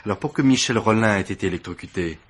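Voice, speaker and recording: Eager, husky police assistant. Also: male voice, on the low side, fast talker. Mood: confident, deductive. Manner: emphatic, methodical explaining. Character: eager, deferential police assistant, proud of his deductions. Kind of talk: French radio mystery play acting.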